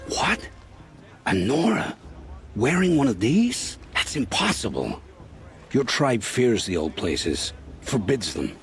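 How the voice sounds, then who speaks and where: An actor playing an uneasy, evasive scavenger guide in a video game, speaking in a halting, guarded way. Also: male voice, medium pitch, big pitch swings, slight background noise. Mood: startled, disbelieving. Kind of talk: video game cutscene acting.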